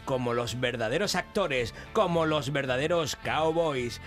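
A man's voice: medium pitch, a little slow, a bit bright, faint music behind, pitched below the performer's own voice, natural voice. A man playing a pompous film producer. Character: smug male Spanish film producer, adult, self-important. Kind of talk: comedy radio sketch.

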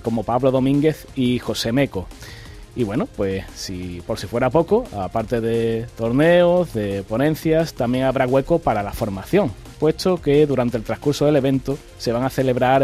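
Mid-pitched male host, measured radio delivery with fillers, soft music bed. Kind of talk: radio announcement and news reading.

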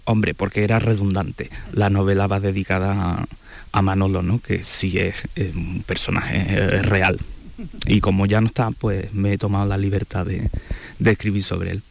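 A man's voice: low voice, flat delivery, creaky. A man, a novelist whose first language is not Spanish, speaking reflectively on a radio interview with many restarts. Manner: reflective, halting with repeated words. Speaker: novelist guest.

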